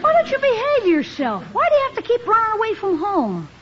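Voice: extremely high pitch, wildly swooping pitch, a little fast, exaggerated voice. An adult performer voicing a cheeky adolescent boy nephew in a 1950s radio sitcom, eager and wheedling. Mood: scolding. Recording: muffled old radio with faint hiss.

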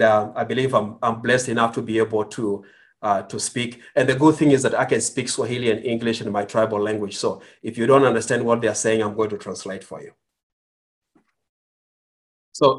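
Kenyan man, earnest guest storyteller, middle pitch, halting delivery. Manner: earnest, with frequent fillers and restarts. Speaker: guest entrepreneur. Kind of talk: conversational storytelling.

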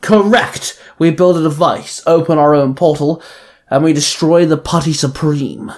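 A man's voice: a little high, a little slow, darkish tone, natural voice. A man voicing Mister Pocket, a commanding male boss of a mutant crew who speaks in firm, rallying speeches. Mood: urgent.

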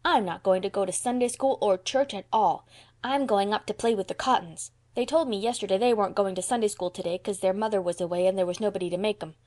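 A woman's voice: mid-range voice, fast, darkish tone, lightly acted. A woman voicing a reckless, defiant young country boy in a storybook, with indignant blustering and folksy childish grammar.

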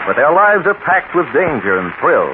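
Dramatic, high-pitched 1940s radio announcer, male. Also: sing-song, normal speed. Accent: American. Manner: dramatic, formal. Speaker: announcer and narrator. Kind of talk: 1940s radio scripted narration. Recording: tinny, noisy 1940s radio recording.

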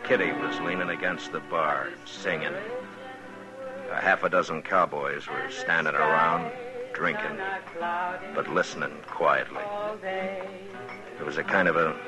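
A stern frontier marshal with an extremely low voice and few words. The delivery is understated and deadpan, in classic 1950s radio western style, with loud music in the vintage recording. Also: male voice, unhurried, long pauses. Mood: grim narrating. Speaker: lead actor and first-person narrator.

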